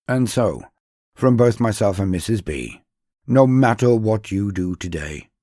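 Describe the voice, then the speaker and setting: A man's voice: fairly low pitch, dark tone, no echo, clean studio sound. A man narrating a scripted story as a host, in a theatrical, dramatic way. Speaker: narrator and host of a lore channel.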